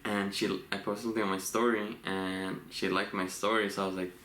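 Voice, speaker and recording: A man in his late twenties from Los Angeles, co-hosting a couple's Q&A vlog in a relaxed, rambling way with frequent laughs. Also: lowish voice, brisk. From Los Angeles, USA. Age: 20s. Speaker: vlogger co-host. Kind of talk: casual couple Q&A chat. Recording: echoey room with faint hiss.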